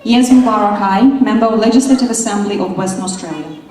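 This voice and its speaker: A woman's voice: medium pitch, quick pace, darkish tone, a little hiss or hum. A woman interpreting and announcing speakers at an event in short, formal phrases. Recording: echoey hall, distant mic.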